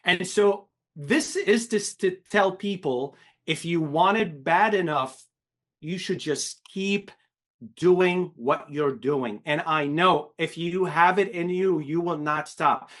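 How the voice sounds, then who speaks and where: Middle-aged man from Syria, animation director type; candid and emphatic, full of repeats, voice sits a bit high. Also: slow, a bit bright. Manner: emphatic, repetitive restarts, swears. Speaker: animation director, podcast guest. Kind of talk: candid interview talk.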